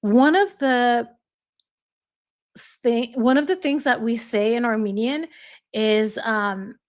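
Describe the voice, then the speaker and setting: A woman's voice: a little high, takes it slowly. An American woman hosting a casual livestream, reading viewer comments aloud and laughing often as she chats. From United States. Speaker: livestream host.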